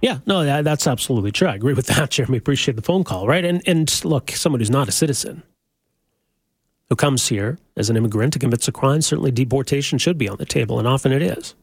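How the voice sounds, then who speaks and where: A man hosting a call-in talk radio show, questioning callers in a quick, conversational way. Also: medium pitch, expressive, gravelly, husky, no room sound.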